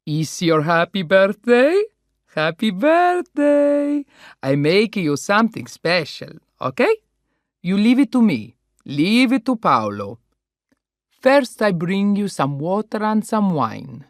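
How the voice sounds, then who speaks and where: A woman's voice: on the low side, dry recording, studio quality, heavily acted. A woman doing a jolly Italian restaurant owner. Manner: warm, effusive, broken English.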